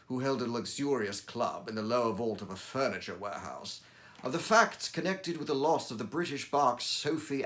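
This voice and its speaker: A man reading aloud as Dr. Watson, a Victorian gentleman recounting old cases, in a measured, formal storytelling delivery. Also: mid-range voice, voice pushed up. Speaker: audiobook reader. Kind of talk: dramatic reading of a classic story.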